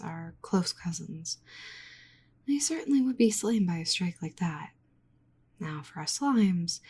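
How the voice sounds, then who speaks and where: Woman voicing a breathy, flustered slime-girl scientist. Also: medium pitch, a little mellow. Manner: rambling, stammering, exasperated and fussy. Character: flustered, nerdy slime-girl researcher living in a swamp. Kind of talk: ASMR roleplay acting.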